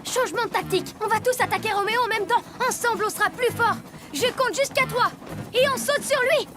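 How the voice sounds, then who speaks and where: A woman's voice: very high voice, brisk. A woman voicing an earnest young superhero boy in a children's cartoon, speaking in an encouraging way. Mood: resolute. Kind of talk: animated children's cartoon acting. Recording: music under the dialogue.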